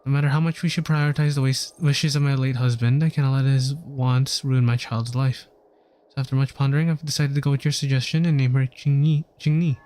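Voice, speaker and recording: A man's voice: medium pitch, steady tone, brisk, a little mellow. A man streaming a game, reading its story text aloud earnestly and now and then stumbling over words. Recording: game music behind the voice. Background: game music.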